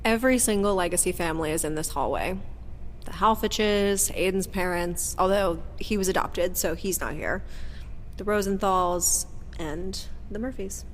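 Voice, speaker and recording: An actress playing a defiant, guarded former agent weighed down by family legacy, earnest and bitter, in an audio drama. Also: female voice, fairly low pitch, normal speed, a little hiss or hum, close to an everyday voice. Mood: reflective. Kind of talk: audio drama acting with first-person narration. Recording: long hall reverb, clear background ambience.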